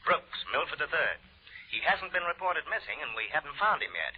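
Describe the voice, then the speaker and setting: An actor playing a jocular, needling police lieutenant in a 1940s radio detective drama, with a husky voice and teasing delivery. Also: male voice, a little high, very animated, fairly quick. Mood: sardonic, businesslike. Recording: dull, lo-fi 1940s radio recording.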